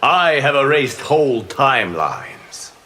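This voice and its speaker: An actor playing a stern, noble divine guardian in a video game, grave and formal. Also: male voice, medium pitch, sing-song, takes it slowly, sharp tone, exaggerated voice.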